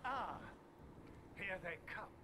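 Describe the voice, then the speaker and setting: An actor playing a pompous, indignant church chancellor in a fantasy video game, with a declamatory manner. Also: male voice, sits high, expressive, slow pace. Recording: noisy game audio with ambience.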